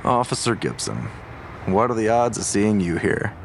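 A man's voice: fairly low pitch, expressive, normal speed, a little hiss or hum. An actor playing a seasoned, world-weary male homicide detective in an audio drama, asking terse, probing questions. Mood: wry, guarded.

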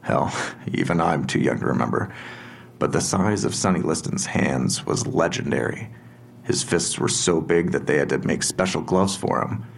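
A gravelly, low-voiced police detective. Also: male voice, a little hiss or hum. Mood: probing. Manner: deliberate, matter-of-fact questioning. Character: methodical, probing male police detective, quietly pressing. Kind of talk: audio drama dialogue.

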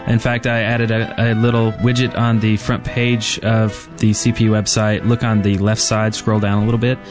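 A man's voice: on the low side, little pitch movement, normal speed. Casual male podcast co-host over music. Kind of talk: casual chat.

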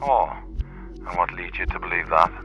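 An actor playing a businesslike police detective answering a phone call in an audio drama, speaking in a measured, probing way. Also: male voice, sits low, bright, forceful tone. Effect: telephone filter. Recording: dull phone line, music behind.

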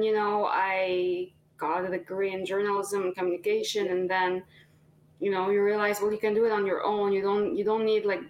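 A woman in her twenties talks as a guest on a casual podcast. Her voice sits at an ordinary middle pitch. She rambles in a friendly way, full of fillers and restarts. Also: rough recording. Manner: chatty, many fillers and restarts. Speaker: singer-songwriter guest.

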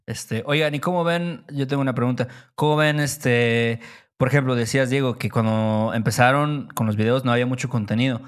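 A man's voice: mid-range voice, fairly even intonation, brisk, smooth. A man hosting a podcast, asking questions in a friendly, conversational way. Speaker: podcast host. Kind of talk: casual interview questions.

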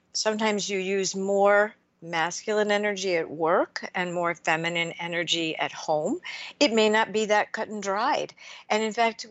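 A woman hosting a radio interview, speaking in a measured, reflective way and asking long framing questions. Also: medium pitch, a bit bright, no room sound, lo-fi sound. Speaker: radio show host.

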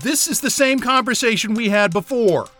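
Exasperated man, high, swooping bright voice. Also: lively intonation, moderate pace, soft background music. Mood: desperate, urgent. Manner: exasperated, profane, urgent. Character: panicked, sweary man stranded at polar station. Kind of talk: audio drama acting.

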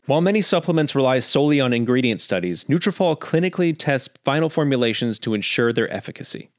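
A man of average pitch reads scripted ad copy in a crisp, even voice-over style. The recording is dry, with no room echo. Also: quick pace. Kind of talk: scripted advertisement.

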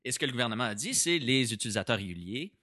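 A man's voice: medium pitch, expressive, rapid-fire, bright, forceful tone, dry recording, clean studio sound. A man with a Québécois French accent explaining policy in a casual discussion, repeating words as he thinks and mixing in English terms. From Quebec, Canada.

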